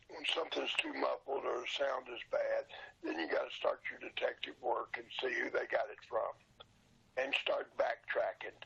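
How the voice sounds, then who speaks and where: An older man, past sixty, with a gravelly, husky voice, talking casually and plainly as an interview guest, explaining things informally. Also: medium pitch, fairly bright tone. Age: 60s or older. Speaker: interview guest, old radio show collector and seller. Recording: dull lo-fi sound.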